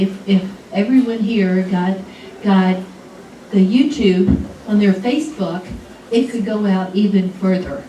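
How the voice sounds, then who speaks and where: A woman's voice: medium pitch, dark tone, a little hiss or hum. A woman in the audience hesitantly suggesting an idea at a luncheon. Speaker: audience member. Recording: echoey room from far off.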